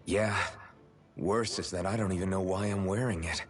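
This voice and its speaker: An actor voicing an earnest young masked warrior in a video game, searching and puzzled in tone. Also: male voice, deep voice, fairly even intonation, normal speed, lightly acted. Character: masked young male swordsman, earnest and confused. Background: game music.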